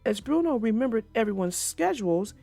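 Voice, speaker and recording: Casting: expressive woman for children's read-aloud narration, lively and high-pitched. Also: a little fast, creaky, faint music behind. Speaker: storybook narrator.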